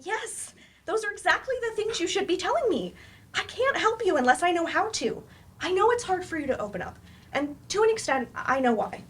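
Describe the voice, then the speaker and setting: A woman's voice: extremely high pitch, big pitch swings, brisk. A woman acting in a staged play reading as a frank, exasperated therapist, pointed and confrontational with her patient. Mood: firm, frustrated.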